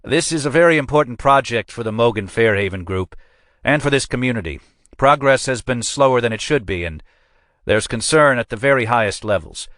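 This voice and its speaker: A man voicing an anxious middle-aged male police captain trying to smooth things over. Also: mid-range voice, lively intonation, fairly quick, a bit bright, no echo, studio quality, voice pushed up, close to an everyday voice. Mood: placating. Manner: placating, nervous, officious.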